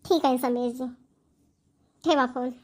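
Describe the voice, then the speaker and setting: A woman's voice: high-pitched, fairly even intonation, unhurried, darkish tone. A woman voicing a polite, devoted young bride-to-be in a family cartoon, speaking deferentially. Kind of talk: cartoon family drama voice acting.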